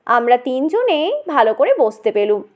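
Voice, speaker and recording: A woman narrating a comic story in an animated, dramatic and conversational way, as if speaking straight to the listener. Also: high voice, moderate pace.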